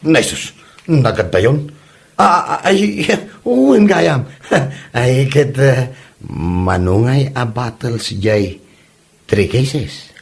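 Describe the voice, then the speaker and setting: A man performs an easygoing village friend with an Ilocano accent. His speech is slow and very animated, amused in a comedy drama way. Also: mid-range voice, gravelly, breathy edge, full character voice. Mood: impressed. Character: easygoing older-brother-like male customer, amused and approving.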